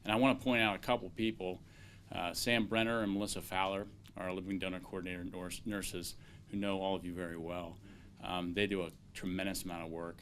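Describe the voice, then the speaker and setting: A man speaking as a transplant doctor at a press conference, measured and peppered with filler sounds. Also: low voice, little pitch movement, fairly bright tone, a little hiss or hum.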